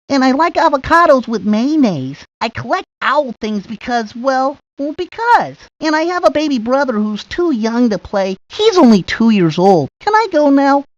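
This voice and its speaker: A woman voicing a shy, self-doubting young boy in a video game, speaking in halting, hesitant bursts. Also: sits high, no room sound. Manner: hesitant, halting, full of ums. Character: shy, nervous young boy ballplayer, self-doubting and eager to be left alone. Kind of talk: video game character monologue. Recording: dry, lo-fi 1990s game audio.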